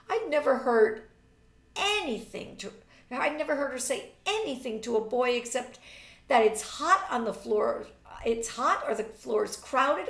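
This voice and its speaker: A woman's voice: sits high, a bit bright, pitched above the performer's own voice, full character voice. Woman playing an exasperated, catty teenage girl, roomy sound. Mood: sarcastic. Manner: emphatic, scornful. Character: exasperated, catty eighteen-year-old society girl, impatient and dramatic. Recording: roomy, distant webcam microphone.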